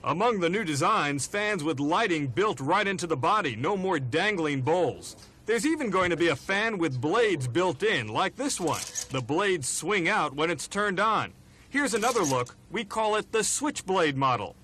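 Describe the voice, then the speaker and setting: A man's voice: high voice, animated, moderate pace, pushed and bright. An American man narrating a scripted television news report on an archival recording. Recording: archival TV audio with faint noise.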